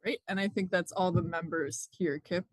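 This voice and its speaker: A program manager opens a public meeting over a video call, calling on members in a brisk, orderly way. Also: mid-range voice, fairly even intonation, no echo.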